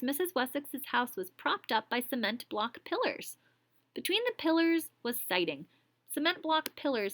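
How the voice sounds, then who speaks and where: A woman's voice: fairly high pitch, a bit bright, dry recording. A woman teacher reads a story aloud to her class. She keeps stopping to explain words and ask checking questions.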